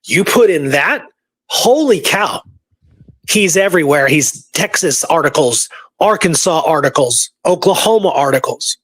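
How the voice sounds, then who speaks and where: A man with a fairly high voice talks casually as a show co-host. He explains things like a storyteller, checking in as he goes, and the sound is very dry and close. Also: a little slow, slightly rough, no room sound. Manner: animated storyteller, often tags points with "right?". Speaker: show co-host and history researcher. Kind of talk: casual chat.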